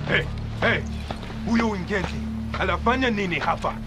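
A man's voice: high voice, normal speed, over music. A man playing an agitated, authoritative figure barking orders in a video game. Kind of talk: cinematic game acting.

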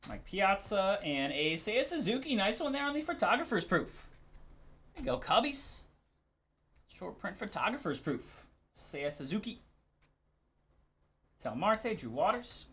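A man's voice: sits high, very animated, unhurried, pauses often, a bit bright. A man hosting a live sports card break, giving casual, laid-back commentary in short clipped call-outs.

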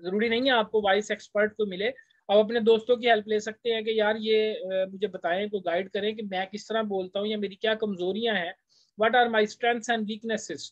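A man's voice: high voice, steady tone, moderate pace, no echo. Pakistani guy teaching, explains stuff conversationally like a lecturer, dry kinda muffled lo-fi audio. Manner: conversational, switches between languages to translate. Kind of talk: explanatory lecture, reading out English text and explaining it. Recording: dull, lo-fi voice-over recording.

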